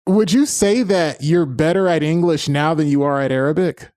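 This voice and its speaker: A male podcast host with a somewhat high voice leads an interview. He gives upbeat, announcer-style introductions and asks follow-up questions. The sound is dry and close, with no room echo. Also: normal speed, a little mellow. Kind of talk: hosted interview.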